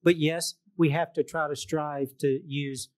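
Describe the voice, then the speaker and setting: A man's voice: on the high side, steady tone, measured pace. A man on a live medical conference panel, speaking bluntly and with strong opinions. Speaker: panelist physician.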